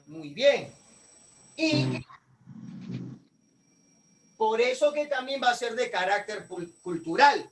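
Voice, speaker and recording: A man's voice: extremely high pitch, a bit bright, far from the mic, rough recording. A man lecturing a class, asking questions and answering them himself. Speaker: teacher.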